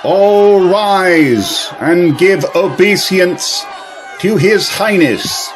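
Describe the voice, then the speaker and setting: Male actor as dutiful court servant and herald, formal and deferential, slightly high voice, faint background noise. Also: animated, very slow, a faint crowd, heavily acted. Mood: proud, proclaiming. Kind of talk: audio drama acting.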